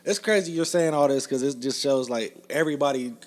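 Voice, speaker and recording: A man's voice: mid-range voice, a little fast. A man in his mid-thirties co-hosting a podcast, rambling in casual chat, joking, and often tagging sentences with "you know what I'm saying". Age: 30s. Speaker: podcast co-host.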